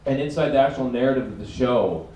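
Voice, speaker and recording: Halting guy on a panel, distant from the mic, echo all over. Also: mid-range voice, mellow. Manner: halting, repeats words, wry. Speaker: podcaster panelist. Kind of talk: convention panel talk. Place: large convention hall. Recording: echoey hall, far from mic.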